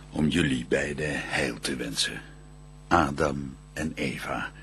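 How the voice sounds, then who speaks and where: An actor playing a solemn archangel who brings God's blessing, declaiming verse in a stately, ceremonious manner for a radio play. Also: male voice, rumbling low, a bit bright, breathy edge, exaggerated voice.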